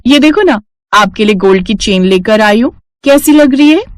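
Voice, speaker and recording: A woman's voice: fairly high pitch, lively intonation, normal speed, no room sound, clean studio sound, close to an everyday voice. A woman voicing a smug, boastful young working daughter-in-law in a story, sugary to elders and condescending. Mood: sweetly boastful.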